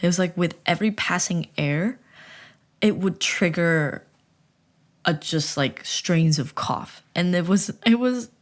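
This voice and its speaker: Low-voiced chatty young woman. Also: unhurried. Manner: chatty, animated, self-mocking; rambling, with many restarts. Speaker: vlogger. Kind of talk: casual storytelling chat.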